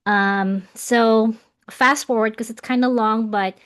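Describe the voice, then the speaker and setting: A woman's voice: fairly high pitch, fairly even intonation, takes it slowly, a bit bright, smooth. A woman in her forties from the Philippines, a guest life coach talking earnestly with many ums. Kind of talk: casual interview.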